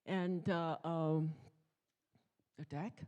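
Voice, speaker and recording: Candid woman presenting, halting delivery. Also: low-pitched, fairly even intonation, mellow, dull audio. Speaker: tech executive and guest speaker. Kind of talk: informal conference presentation. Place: conference stage.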